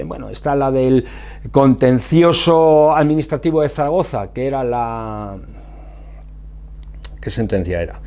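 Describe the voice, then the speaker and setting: A man's voice: mid-range voice, warm tone. A man with an accent from Spain explaining things informally to viewers, didactic and emphatic, often answering his own questions. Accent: Spanish from Spain. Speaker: motorcycle safety vlogger.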